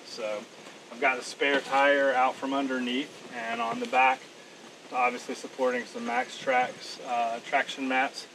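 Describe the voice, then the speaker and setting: Relaxed man showing off his truck outdoors. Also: medium pitch. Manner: relaxed, plain-spoken, frequent "um"s. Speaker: vehicle owner giving a walkaround. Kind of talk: casual show-and-tell walkaround. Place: outdoors at a campground event, by a truck, then inside the cab. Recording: outdoor mic a bit far, steady background noise.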